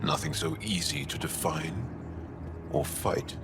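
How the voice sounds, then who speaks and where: An actor playing a grave, proud alien resistance fighter in a video game, speaking deliberately and solemnly. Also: male voice, very deep voice. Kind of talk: dramatic game dialogue. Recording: music behind the dialogue.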